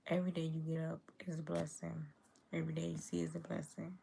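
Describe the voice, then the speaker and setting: Low, gravelly woman from Chicago. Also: monotone, fast talker, warm tone, slight background noise. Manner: easygoing, chatty, reads chat comments aloud and answers them. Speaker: livestream host. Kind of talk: casual livestream chat with viewers.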